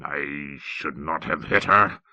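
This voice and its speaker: Man acting a deep, brooding, hot-tempered king. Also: expressive, no echo, full character voice. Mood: sad, regretful. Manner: blunt, drawn-out, forceful speech. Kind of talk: audiobook character acting.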